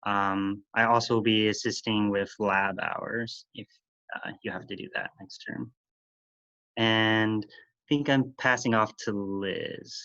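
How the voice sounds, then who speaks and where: A man's voice: lowish voice, fairly even intonation, slow, bright, forceful tone, no room sound. A young man graduate student introducing himself plainly with fillers on a video call. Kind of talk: self-introduction. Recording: dull, dry video call audio.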